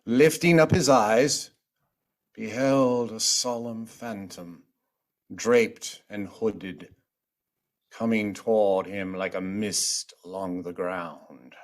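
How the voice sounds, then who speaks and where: A man playing the solemn narrator of a ghost tale in a radio play, grave and deliberate. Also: fairly low pitch, fairly even intonation. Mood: grave, dramatic. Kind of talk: dramatic scripted narration.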